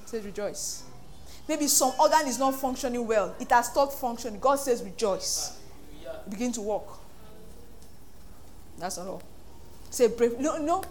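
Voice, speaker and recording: A Nigerian woman pastor preaching an animated sermon, acting out voices and laughing often. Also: fairly high pitch, a little slow, pauses often, a little hiss or hum.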